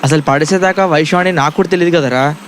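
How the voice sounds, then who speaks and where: A man's voice: on the high side, fast, slight background noise, close to an everyday voice. A man playing an anxious, lovestruck young college student in a comedy audio drama, bantering in exasperated slang. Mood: exasperated, defensive. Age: young adult.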